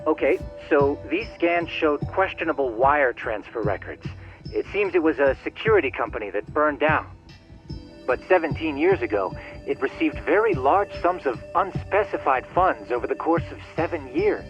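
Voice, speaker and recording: A man's voice: on the high side, expressive, moderate pace, soft background music, close to an everyday voice. An actor playing a polite, geeky male tech sleuth in a video game, formal and wordy on a phone call. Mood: intrigued. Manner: formal, wordy, slightly theatrical. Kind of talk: video game cutscene dialogue.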